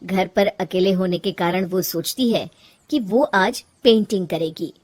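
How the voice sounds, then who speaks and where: A woman's voice: lowish voice, measured pace, a little mellow. A woman narrating a scripted moral story for children as the storyteller.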